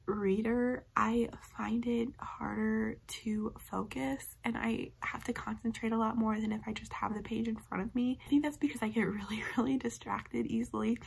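A young woman in her twenties talks books in her bedroom, upbeat and rambling, her voice a touch hoarse. Her pitch sits fairly high, with a gravelly fry running through it. A faint hiss hangs behind her. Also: darkish tone. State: slightly hoarse from a cough. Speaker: book vlogger. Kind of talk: casual book review chat. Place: bedroom, indoors. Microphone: webcam facing her at close range.

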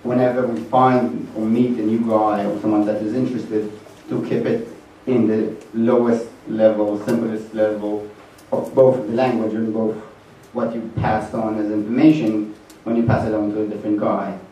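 A man with an Israeli accent whose first language is Hebrew, speaking as a panelist in a measured, plain way. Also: on the low side, level tone, warm tone. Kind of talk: conference panel answer. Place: conference hall. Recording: echoey hall from far off, light noise.

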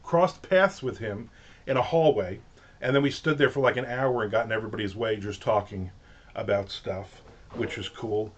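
A man's voice: on the low side, normal speed. Jersey guy rambling on a livestream, lots of ums and restarts, kinda dull audio. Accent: New Jersey. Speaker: author hosting a livestream. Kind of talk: casual chat. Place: home office with shelves of collectibles.

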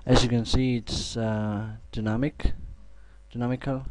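A young man with an Estonian accent, talking through a coding tutorial at his computer in a halting way, full of fillers and restarts. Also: mid-range voice, flat delivery, a little mellow. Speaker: programming tutor. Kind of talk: screen-recorded coding tutorial. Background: keyboard typing and clicks.

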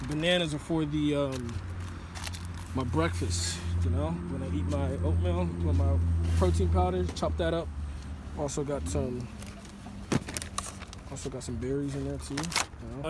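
A man's voice: a little high, unhurried. A young man in his twenties chatting casually and with slang as he vlogs from a car and a big warehouse store on a handheld phone. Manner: laid-back, slangy, instructive asides. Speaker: vlogger. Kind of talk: casual vlog chat. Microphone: handheld phone camera at arm's length. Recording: noisy store and car background.

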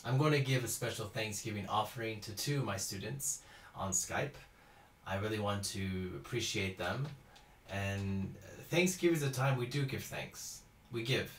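A man in his thirties from Houston, Texas, teaching cello and chatting his way through a live-stream Q&A. His voice sits a little low, and he talks loosely, answering viewers and laughing easily. The small home room gives the sound a noticeable echo. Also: fairly bright tone, slight background noise. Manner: chatty, reads out viewer comments, laughs often. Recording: roomy echo.

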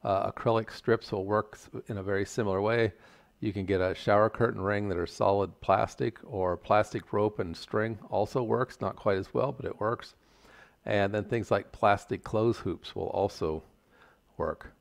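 An older man giving a measured, plain-spoken, step-by-step tutorial explanation. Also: on the low side, fairly even intonation, vocal fry. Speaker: instructor. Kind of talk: explanatory tutorial narration over slides.

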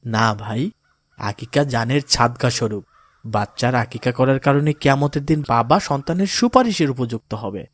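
A man plays a pious, kindly father in a cartoon. His mid-pitched voice is calm and polite, offering gentle counsel like an instructor. The recording is dry and close. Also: moderate pace, dry recording, natural voice. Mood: reassuring, pious. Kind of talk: cartoon voice acting in moral story.

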